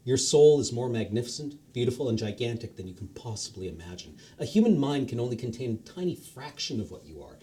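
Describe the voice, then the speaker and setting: A man reading a short story aloud on a charity stream, expressive with the odd stumble. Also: medium pitch, quick pace, hardly any pauses.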